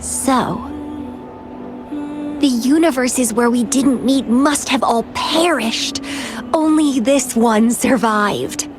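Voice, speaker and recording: Young female game character, slightly high voice, slow delivery, playful, teasing, dreamy, devoted, music in the background. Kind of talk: video game character acting. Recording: music behind the voice.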